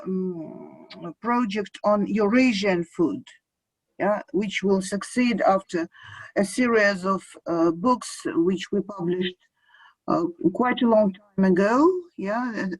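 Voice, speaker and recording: A woman from Russia, a museum researcher, speaking haltingly over a video call with many fillers and repeated words. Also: mid-range voice, darkish tone, no room sound, low-quality recording. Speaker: museum researcher asking a question.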